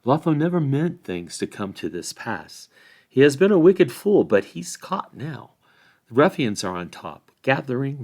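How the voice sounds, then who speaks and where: A man reading the part of a grave, merciful hobbit in a fantasy storybook, measured and admonishing. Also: mid-range voice, voice pushed up. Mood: grave, pitying. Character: grave, merciful hobbit calming his hot-headed companions.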